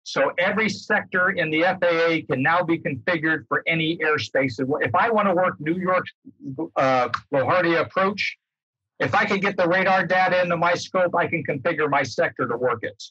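A man's voice: a little high, normal speed, sharp tone, husky. An older American man giving an informal explanation over a video call, rephrasing himself as he goes. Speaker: guest speaker, former air traffic controller. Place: indoors, book-lined home room. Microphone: computer mic on video call. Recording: dull, roomy, lo-fi video-call sound.

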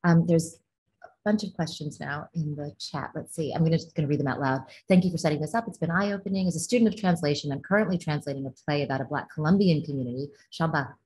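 Woman translator, very deep voice, thoughtful panel answers, frequent ums, laptop call from home. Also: fast, darkish tone, poor audio. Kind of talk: online panel discussion, reading audience questions. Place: home living room indoors. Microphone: laptop video call.

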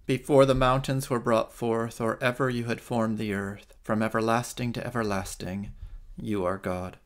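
A man in his forties with an American accent, a priest giving a reflective homily and leading night prayer from home on a webcam, in a slow, measured way. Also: medium pitch, fairly even intonation. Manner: slow, measured liturgical reading. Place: living room at home, indoors at night. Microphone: webcam on a video stream.

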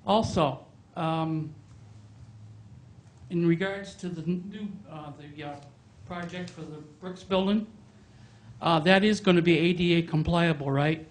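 A middle-aged man stands up for public comment in a town meeting room, holding a handheld microphone. His voice sits a little high for a man, and he lays out his complaints bluntly. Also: a little slow, low-quality recording.

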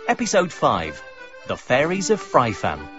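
A man announces radio drama credits in a scripted style. His pitch moves in lively swings over prominent violin music, with a slightly muffled sound. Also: unhurried. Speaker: continuity announcer.

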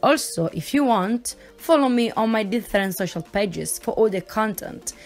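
A woman's voice: medium pitch, expressive, moderate pace, a little mellow, faint music behind. Woman narrating a museum tour video. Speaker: voice-over narrator. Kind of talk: scripted narration.

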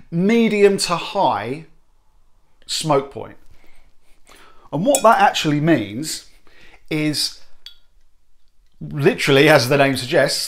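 A man, explaining nutrition to camera in an animated, emphatic way. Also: on the high side. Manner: animated, emphatic, gestures with hands. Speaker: nutrition presenter. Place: home studio room. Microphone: close to camera, medium shot.

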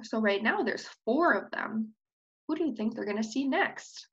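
A young woman reads a picture book to small children. Her voice is high and a bit husky, expressive, with questions for the listeners. The webcam sound is slightly dull. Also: normal speed. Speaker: preschool teacher. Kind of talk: children's storybook read-aloud. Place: indoors on a screen-share video call.

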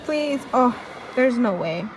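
A young woman vlogging casually in a large indoor mall, chatty and pleading excitedly at a claw machine, recorded on a handheld phone. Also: on the high side, slow pace, noisy background. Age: young adult. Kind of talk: casual vlog commentary. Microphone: phone camera at arm's length.

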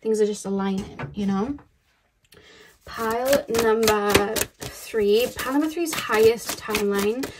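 A young woman giving a casual tarot reading, rambling and repeating herself, her voice a little croaky. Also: medium pitch, unhurried, background noise. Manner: chatty and rambling, repeats phrases, many fillers. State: slightly croaky voice. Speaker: tarot card reader. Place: indoors at a table, camera overhead.

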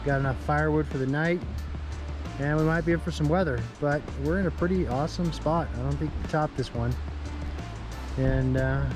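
A man's voice: a little high, moderate pace, over music. A man in his forties filming an outdoor camping vlog, narrating and coaching with enthusiasm at a lake and campsite. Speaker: vlogger. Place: outdoors at a forest lakeside and campsite.

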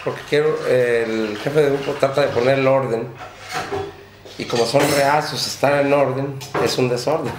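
A man's voice: medium pitch, steady tone, moderate pace, reverberant, noisy background. A man answering interview questions, hesitant, often repeating and restarting his words.

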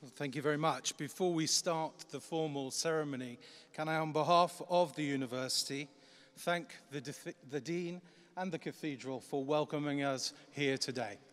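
A man's voice: on the high side, normal speed, fairly bright tone. A middle-aged man, the vice-chancellor, giving a formal, upbeat ceremony speech at a lectern in a large cathedral. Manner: formal, upbeat, projecting to a crowd. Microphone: lectern microphone. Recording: long cathedral reverb.

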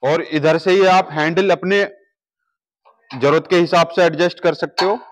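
A man in his thirties with a fairly high voice walks through a large indoor warehouse, explaining each gym machine in a steady sales manner. He is recorded on a lapel mic as he points out the features. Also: unhurried, slight background noise. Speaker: gym equipment seller. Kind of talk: product walkthrough.

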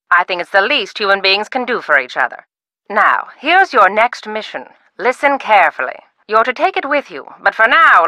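A theatrical, secretive old film star. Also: female voice, on the high side, pushed and bright, dry recording. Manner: theatrical, grand, teasing. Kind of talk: video game voice acting.

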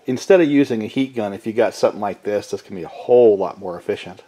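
A middle-aged man casually explaining repair steps at his workbench, in a relaxed, folksy way while handling tools. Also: fairly low pitch, fast. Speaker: small engine repair channel host. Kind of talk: casual workshop demonstration. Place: cluttered home workshop at a workbench. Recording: echoey workshop with loud tool noise.

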